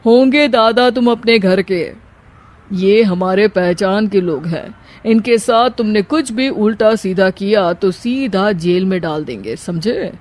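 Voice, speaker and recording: A woman's voice: medium pitch, lively intonation, unhurried, a little mellow, close to an everyday voice. A woman voicing an influential politician man in a cartoon story, with an authoritative manner. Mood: stern. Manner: authoritative, admonishing. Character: stern, influential politician man, secretly a disguised daughter-in-law. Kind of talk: cartoon moral-story acting.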